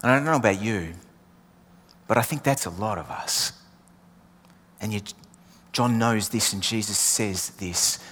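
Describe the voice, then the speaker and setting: A man's voice: on the low side, unhurried, long pauses, fairly bright tone. A man with an Australian accent, born in England, preaching a sermon with emphatic repeated refrains that sometimes drop to a near whisper. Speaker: pastor. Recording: long hall reverb.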